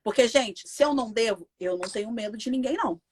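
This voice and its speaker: A woman's voice: on the high side, animated, fast, husky. A Brazilian woman with a Brazilian Portuguese accent, a mother telling an interviewer her story in a casual, emphatic, indignant way.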